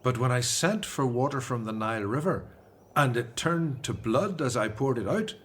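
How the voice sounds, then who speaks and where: An actor playing an earnest, devout Hebrew prophet in a radio drama, measured and solemn. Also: male voice, medium pitch, lively intonation, a bit bright, lightly acted. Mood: solemn recounting.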